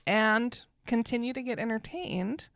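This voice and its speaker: A woman with a smooth, clean voice at an ordinary pitch, co-hosting a podcast. She talks in a chatty way and laughs easily. She is miked close in a dry studio. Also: unhurried, a bit bright, no room sound. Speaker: podcast co-host. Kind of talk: casual podcast chat.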